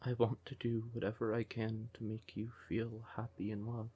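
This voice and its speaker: Man acting a husky, slow-talking siren boyfriend. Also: medium pitch. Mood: tender, intense. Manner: tender, unhurried, emotive, with pet names. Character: devoted male siren nightclub singer, affectionate boyfriend, easily exasperated. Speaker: voice actor in a boyfriend roleplay. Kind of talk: intimate ASMR audio roleplay.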